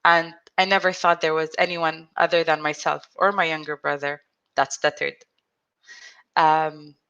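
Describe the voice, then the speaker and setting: Woman in her 30s w a stutter, lowish voice, earnest, reflective, lots of restarts. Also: bright, forceful tone, muffled recording. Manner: speaks with a stutter, frequent restarts and pauses. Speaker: interview guest, support group founder. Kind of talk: personal storytelling in conversation.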